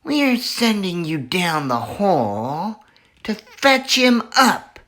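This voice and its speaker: A man reading aloud and voicing a cruel, scheming male farmer who taunts in high-pitched shouts. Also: big pitch swings, takes it slowly, exaggerated voice. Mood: menacing.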